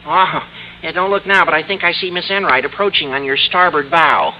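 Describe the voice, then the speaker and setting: An actor playing an eager, grandiloquent teenage schoolboy in an old radio comedy, with florid, pompous phrasing. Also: male voice, a little high, fairly quick, dull audio, lightly acted. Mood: earnest, conspiratorial. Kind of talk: 1940s-50s radio sitcom acting. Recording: old radio recording with hiss.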